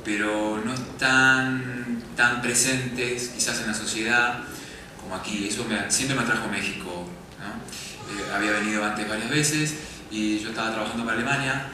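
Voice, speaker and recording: A man's voice: lowish voice, fairly even intonation, normal speed. A man, speaking earnestly on a panel after a film screening. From Argentina. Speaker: documentary filmmaker on a panel. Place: festival screening hall. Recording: very echoey hall, distant mic, background noise.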